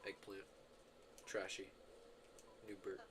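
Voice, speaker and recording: A man's voice: low-pitched, completely flat delivery, slow pace, noisy background. A man streaming a video game, giving casual, rambling commentary full of filler words and self-corrections. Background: game music.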